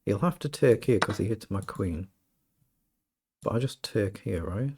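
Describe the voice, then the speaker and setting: A British man with a slightly croaky voice, casually commentating his own chess game and thinking aloud. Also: fairly low pitch, lively intonation, a little slow, background noise. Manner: thinking aloud, self-questioning. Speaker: chess streamer.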